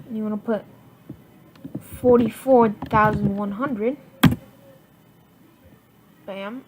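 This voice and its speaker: A woman giving a casual, unscripted software tutorial in an informal, rambling way, often correcting herself. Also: a little high. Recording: noisy home recording with clicks.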